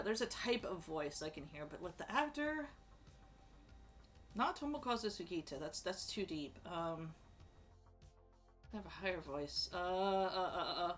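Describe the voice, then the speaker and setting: Chatty rambling woman streamer. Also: lowish voice. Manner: animated, many fillers and restarts, bursts of excitement. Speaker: streamer ranking show characters. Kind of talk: casual rambling chat.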